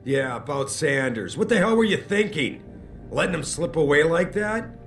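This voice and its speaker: An actor playing a brash, demanding company boss in a video game, speaking bluntly and emphatically. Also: male voice, a little high, expressive, normal speed, faint music behind, lightly acted. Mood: exasperated, scolding. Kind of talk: dramatic video game acting.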